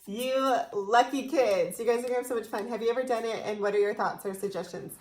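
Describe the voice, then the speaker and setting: A woman's voice: fairly high pitch, steady tone, fast, roomy sound. A young adult woman, co-hosting a casual chat in a bubbly, informal manner. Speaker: co-host reading viewer questions. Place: small indoor room with banner backdrop.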